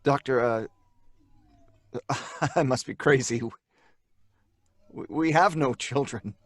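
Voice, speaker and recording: A man playing a troubled middle-aged husband and father in a live radio play, talking in a halting, uneasy way. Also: medium pitch, dry recording, studio quality. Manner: halting, uneasy, with nervous laughs.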